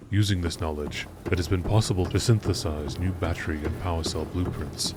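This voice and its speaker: A man reading scripted science-fiction lore in the measured, informative style of a nature documentary narrator. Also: deep voice, heavy background noise.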